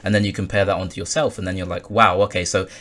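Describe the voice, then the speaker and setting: A man's voice: deep voice, level tone, fast talker, a bit bright. A twenty-year-old man, a podcast guest, talking casually and hesitantly with many ums and likes. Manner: hesitant, full of ums and likes, laughs often. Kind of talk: casual conversation.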